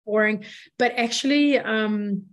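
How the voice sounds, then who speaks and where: A hoarse woman from New Zealand, chatting casually as a business founder guest, upbeat and full of fillers. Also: medium pitch, slow pace. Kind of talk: casual chat.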